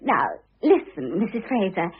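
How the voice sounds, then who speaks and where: An actress playing an affectedly innocent, self-absorbed young second wife in a classic radio drama, with a gushing, theatrical, wheedling manner. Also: female voice, medium pitch, sing-song, moderate pace, husky, narrow, tinny audio. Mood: self-sacrificing pose. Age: young adult. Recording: thin band-limited old radio recording.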